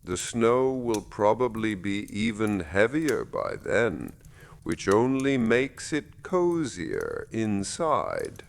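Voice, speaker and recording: A man voicing a kindly, unhurried village gardener in a bedtime story, easygoing and reassuring. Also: on the low side, wildly swooping pitch, gravelly, heavily acted. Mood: friendly, amused. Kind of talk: slow storybook narration.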